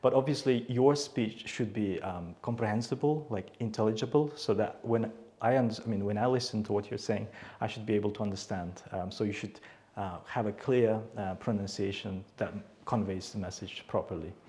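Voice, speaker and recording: Low, mellow Uzbek-accented man. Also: normal speed. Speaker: IELTS teacher, interview guest. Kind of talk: explanatory interview answers.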